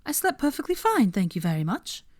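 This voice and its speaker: Woman acting a high-pitched, overworked, stubborn young woman. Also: very animated, a little fast, a little mellow. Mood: indignant, defensive. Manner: insistent, fretful.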